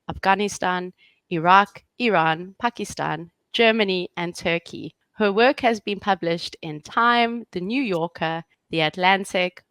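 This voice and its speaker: A woman's voice: mid-range voice, a bit bright, no echo. A woman hosting an interview, reading an upbeat prepared introduction and then asking questions. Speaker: host. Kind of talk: scripted podcast introduction and interview.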